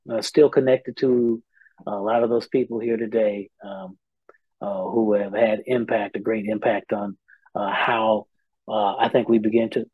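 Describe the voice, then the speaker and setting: Relaxed middle-aged man, many pauses. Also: mid-range voice, breathy edge, dry recording, highs cut off. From Indianapolis, Indiana. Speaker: R&B singer, guest. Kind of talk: casual interview chat.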